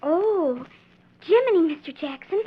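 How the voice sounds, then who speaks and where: A woman's voice: very high voice, expressive, measured pace. An actress playing a sweet, earnest seven-year-old girl in a 1940s radio western, childlike and artless. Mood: innocent, eager. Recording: dull 1940s radio recording.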